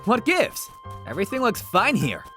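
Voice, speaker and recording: A man's voice: high voice, huge pitch swings, moderate pace, pushed and bright, soft background music, full character voice. A man voicing a confident young male hedgehog hero in a comic dub, with a breezy, teasing way of talking.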